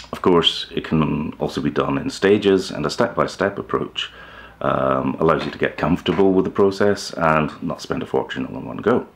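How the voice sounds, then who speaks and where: Very deep-voiced middle-aged man, gravelly. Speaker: presenter of a hi-fi DIY video channel. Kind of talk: instructional talk to camera. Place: living room with hi-fi speakers, indoors.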